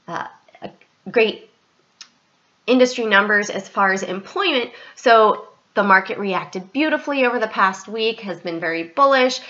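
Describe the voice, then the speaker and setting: A woman hosts a casual trading commentary over her screen, her voice stuffy and a little scratchy. The tone is bright and forward, and the recording is dull and lo-fi. She talks straight to viewers and keeps correcting herself on the fly. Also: medium pitch. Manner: chatty, direct address, frequent self-corrections. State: stuffy and slightly scratchy from allergies. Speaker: trading channel host.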